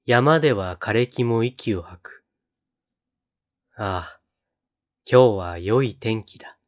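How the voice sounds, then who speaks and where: A man reciting poetry in a solemn style, with measured, deliberate phrasing and pauses between lines. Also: fairly low pitch. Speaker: poetry reader on a read-aloud channel.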